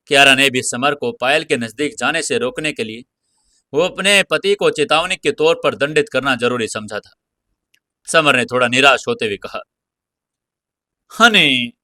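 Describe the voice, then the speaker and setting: A man's voice: mid-range voice, pushed and bright, dry recording. A man narrating an audio serial in a dramatic, scripted storytelling style.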